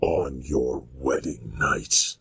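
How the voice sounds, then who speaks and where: A man playing a menacing, vengeful monster in a horror radio play, speaking huskily with slow, ominous deliberation. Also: very deep voice, gravelly, fairly bright tone, slight background noise, heavily acted. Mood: cold menace. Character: menacing male monster, vengeful creation tormenting its maker. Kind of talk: amateur radio-play style horror acting.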